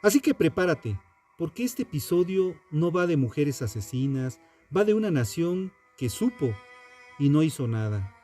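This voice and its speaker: Man, higher-pitched voice, very slow pace, solemn dramatic narration, deliberate phrasing, music behind. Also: sing-song, mellow. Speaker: true crime podcast narrator. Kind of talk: dramatic scripted true-crime narration. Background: background music.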